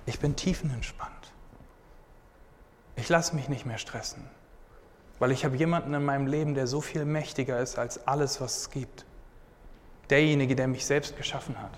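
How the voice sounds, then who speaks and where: A man's voice: medium pitch, steady tone, normal speed, pauses often. A man in his twenties preaching a sermon and sharing his own testimony in an earnest, casual way. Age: 20s. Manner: earnest, casual, often says "hey". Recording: hall reverb, faint background noise.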